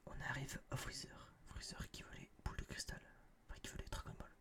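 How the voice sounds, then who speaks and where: A young man whispering close to a binaural microphone in a casual, rambling, blunt ASMR review style, with a breathy, unvoiced voice. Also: fairly quick, pushed and bright. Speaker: ASMR channel host reviewing a film.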